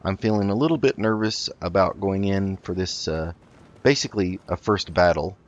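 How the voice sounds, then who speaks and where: A man with a low voice hosts a roleplay gaming session. He talks casually and hesitantly, thinking through his moves as he goes. The sound is a little dull, like a modest home recording. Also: normal speed. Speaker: host of a video game roleplay let's play. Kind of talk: casual gameplay commentary.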